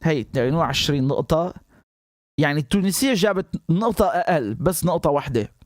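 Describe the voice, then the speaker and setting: A man's voice: fairly high pitch, animated, quick pace, no room sound, studio quality. A man from Syria with a Damascene accent hosting a casual reaction video, laughing often and mixing English with Arabic. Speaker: host reacting to clips.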